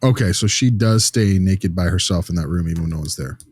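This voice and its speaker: A man's voice: sits low, brisk, darkish tone, slightly rough, no room sound. A man playing an inquisitive, informal behavior consultant in an improvised role-play, asking colloquial questions and repeating himself. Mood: curious.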